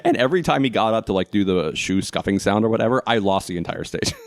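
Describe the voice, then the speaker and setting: A man with a deep voice and a dry, room-free sound chats casually as a co-host. He is a wry, animated storyteller who is free with his opinions. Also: quick pace, creaky, no room sound. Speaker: talk show co-host. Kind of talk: casual humorous chat.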